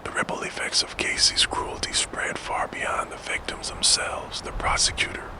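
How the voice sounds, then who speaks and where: A man narrating true crime in a soft, fully unvoiced ASMR whisper, slow and measured, close to the microphone. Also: low-quality recording.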